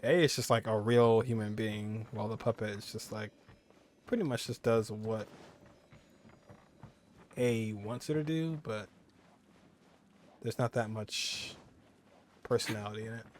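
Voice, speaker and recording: A man's voice: lowish voice, a little slow, long pauses, a little hiss or hum. A man giving casual, slangy let's play commentary as a game streamer, reacting as he goes. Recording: game music behind the voice. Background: video game music.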